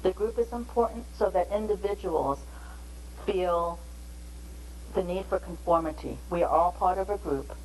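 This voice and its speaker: A woman giving a measured, explanatory training lecture on interpreting, pausing between phrases. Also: fairly low pitch, darkish tone, a little hiss or hum, rough recording.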